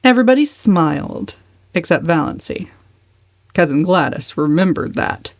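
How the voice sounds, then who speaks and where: A woman reading a novel aloud as a dramatic audiobook narrator, measured and expressive. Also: fairly low pitch, dry recording, clean studio sound.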